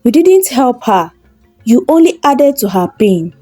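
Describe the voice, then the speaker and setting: A woman's voice: fairly high pitch, sing-song, a little slow, mellow, faint music behind. A woman voicing a stern but compassionate, reproachful husband in a folktale. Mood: angry reproach. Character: stern, compassionate husband, sorrowful about an orphan.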